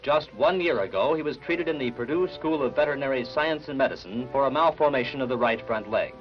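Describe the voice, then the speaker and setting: A man reading scripted 1960s newsreel narration in a measured, formal announcer style. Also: medium pitch, poor audio. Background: background music.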